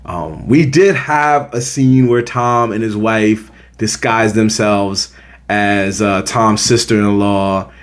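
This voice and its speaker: A man's voice: fairly low pitch, slow. An American man hosting a film review, talking casually and emphatically, repeating his points to drive them home. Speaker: film review show host. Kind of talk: casual opinionated movie review to camera.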